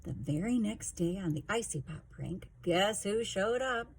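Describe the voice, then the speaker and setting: Expressive, animated woman storyteller. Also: medium pitch, a little slow, slight background noise. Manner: theatrical, emphatic. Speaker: children's story reader. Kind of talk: expressive rhyming storybook narration.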